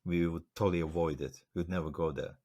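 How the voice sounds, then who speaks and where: A man's voice: sits low, steady tone, fast, mellow. A man from Slovakia, hosting a podcast and telling a story in loose, rambling casual talk full of fillers. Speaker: podcast host. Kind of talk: casual interview chat.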